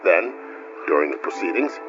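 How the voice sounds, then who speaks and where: Casting: wry, polite game officer, lowish voice with lively swoops; muffled track. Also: male voice, music in the background. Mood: wry amusement. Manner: formal, measured, drily humorous. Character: courteous, wry male military second-in-command. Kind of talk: video game voice acting.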